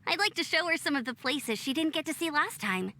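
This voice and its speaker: A bubbly young scout girl, bright lively voice. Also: high-pitched, a little fast, slight background noise, heavily acted. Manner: bubbly, enthusiastic. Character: upbeat young female scout, energetic and friendly. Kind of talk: video game voice acting. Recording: faint game music behind.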